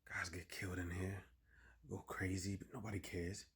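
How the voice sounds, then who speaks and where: A man performs a weary convict in a dramatic monologue, low and quiet. He is embittered and aggrieved, muttering clipped, terse phrases in rough street vernacular. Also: little pitch movement, moderate pace, exaggerated voice. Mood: weary, resentful.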